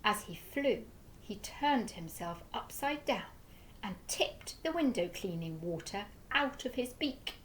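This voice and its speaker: A woman teacher reading a children's story aloud with slow, expressive storytelling. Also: mid-range voice, slight background noise, rough recording.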